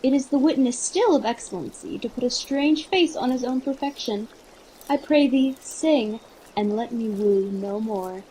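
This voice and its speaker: A courtly, coaxing prince acted by a woman speaks in theatrical Shakespearean radio style. The voice is on the high side, with faint rain-like noise behind it. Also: moderate pace, dark tone, lightly acted, low-quality recording. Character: Don Pedro, courtly prince coaxing a singer.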